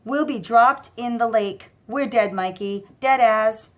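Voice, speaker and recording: A woman's voice: on the high side, slow. A woman voicing a dim-witted, anxious male goon who whines and rambles in a children's story. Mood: whining, panicky. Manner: whining, rambling, defensive. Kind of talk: children's audiobook character voice.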